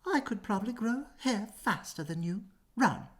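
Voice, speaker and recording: Boastful storybook rabbit, done by a woman, pitched up high and full of mockery. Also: expressive, moderate pace, close to an everyday voice. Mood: mocking. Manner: mocking. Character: cocky, taunting storybook rabbit.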